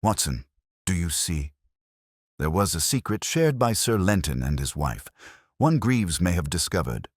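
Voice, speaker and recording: A man voicing a coolly logical, commanding Victorian gentleman detective in an audiobook, deliberate and formal. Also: low-pitched, very animated, dry recording, studio quality, full character voice. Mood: intent, analytical. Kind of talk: audiobook character dialogue.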